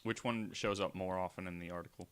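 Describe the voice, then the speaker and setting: A man co-hosting a podcast in casual chat, trading quick jokes and banter. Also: low voice, steady tone, a bit bright, smooth, dry recording. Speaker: podcast co-host.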